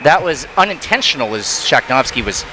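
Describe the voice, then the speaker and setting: A man calling live hockey play-by-play as the lead commentator, with quick bursts of excitement on big plays. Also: medium pitch, slight background noise, poor audio. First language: not Thai.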